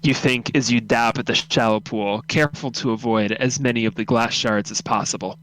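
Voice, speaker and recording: A man's voice: medium pitch, fairly even intonation, normal speed, fairly bright tone, slight background noise, poor audio. A young man who hosts and narrates an amateur radio drama, moving between casual chat and scripted story reading.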